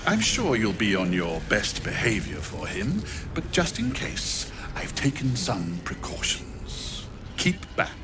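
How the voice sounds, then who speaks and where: A smug, menacing video game necromancer. His delivery is slow, vain and condescending, sweeping dramatically up and down in pitch. Music plays behind him. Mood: smug, cautious. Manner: theatrical, drawn-out, condescending. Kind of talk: video game villain acting. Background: game soundtrack music.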